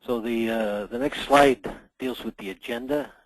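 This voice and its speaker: A middle-aged man, hoarse from a cold, presenting a workplace safety webinar in a measured way with frequent pauses. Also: mid-range voice, steady tone, no echo, low-quality recording. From Canada. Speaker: association general manager presenting a webinar.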